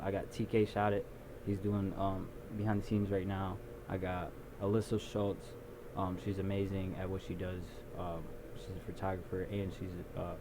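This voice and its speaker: A young man being interviewed about his video production company, talking in a relaxed, rambling way with lots of ums. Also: sits low. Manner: relaxed storyteller, many ums and restarts. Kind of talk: casual interview. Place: small recording studio. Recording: noisy background.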